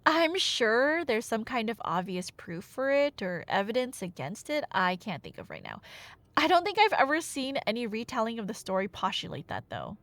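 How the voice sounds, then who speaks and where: A woman's voice: fairly high pitch, normal speed, no room sound, exaggerated voice. A woman playing a nerdy, chatty, playfully bossy girlfriend who rambles through myths in a conversational ASMR roleplay. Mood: excited musing. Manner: rambling, animated, tangent-prone, mock-indignant.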